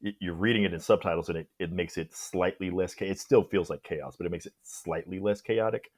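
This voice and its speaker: Low, husky man chatting about film. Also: brisk, a little mellow, dry recording, studio quality. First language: English. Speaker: podcast guest. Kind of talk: casual film discussion.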